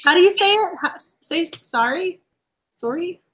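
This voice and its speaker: A woman from the Boston area hosting a casual book chat over a video call, talking quickly through thoughts with frequent laughs and restarts. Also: very high-pitched, expressive, pushed and bright. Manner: chatty, laughs often, restarts while avoiding spoilers. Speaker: host.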